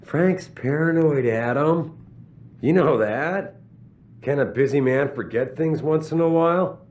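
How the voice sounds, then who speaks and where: An actor playing a proud, evasive middle-aged company boss in a video game, speaking emphatically with drawn-out stresses. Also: male voice, a little high, a little mellow, natural voice. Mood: dismissive. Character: commanding, evasive, proud corporate boss man. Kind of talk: video game cutscene acting.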